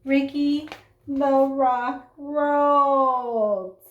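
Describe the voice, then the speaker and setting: A woman reading a children's story aloud in an expressive, dramatic way, with asides and questions to young listeners. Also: sits high, very slow, phone-quality sound. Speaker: storybook reader. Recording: roomy, echoing sound.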